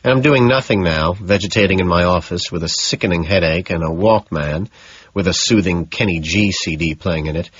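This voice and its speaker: A man's voice: low-pitched, brisk, a bit bright, a little hiss or hum. A man narrating a novel in the first person as a scripted audiobook. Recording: dull, echoey room sound.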